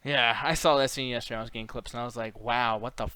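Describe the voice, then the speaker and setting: A man's voice: medium pitch, steady tone, fast talker, pushed and bright, clean tone, no room sound. A man hosting a movie countdown in casual, slangy, rambling and profane commentary. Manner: rambling, slangy, swears freely. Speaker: host of a movie countdown show.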